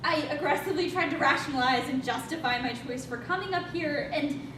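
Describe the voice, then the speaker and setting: A woman's voice: a little fast, bright, forceful tone. A woman acting in a staged reading as a guilt-ridden, defensive young woman on a space mission, speaking in an emphatic, confessional way. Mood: guilty, confessing. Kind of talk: staged reading, dramatic monologue. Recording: echoey room, distant mic, rumbling noise.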